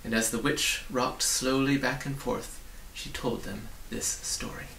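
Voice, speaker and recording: A man in his forties reads a children's story aloud. His voice has a noticeable creak, picked up from a little distance in a roomy study with faint hiss. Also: medium pitch, fairly even intonation, unhurried, pushed and bright. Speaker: reader. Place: small indoor study with bookshelves.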